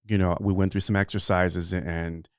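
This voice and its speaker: A man's voice: sits low, level tone, moderate pace, no echo, studio quality. A middle-aged man speaking as a podcast consultant guest, answering interview questions in an earnest, rambling way with many fillers.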